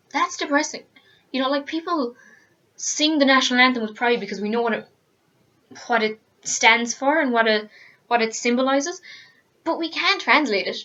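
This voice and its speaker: A teenage Irish woman with a fairly high voice gives an earnest, rambling rant to camera. She restarts her sentences and leans on fillers as she talks. The sound is a little dull and lo-fi. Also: fairly bright tone. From Ireland. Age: teens. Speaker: student vlogger.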